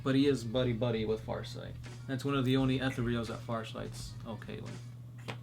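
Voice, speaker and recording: A man co-hosting a casual chat, answering fan questions in a relaxed, chatty way. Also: medium pitch, moderate pace, gravelly, faint music behind. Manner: relaxed, chatty, many fillers. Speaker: podcast co-host.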